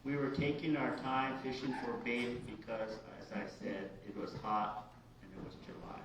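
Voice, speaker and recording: A man from the United States, a writer reading his own short story aloud in a halting, informal way. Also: mid-range voice, fairly even intonation, creaky. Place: large echoey indoor room at a live reading. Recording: very echoey room, distant dull mic, hiss.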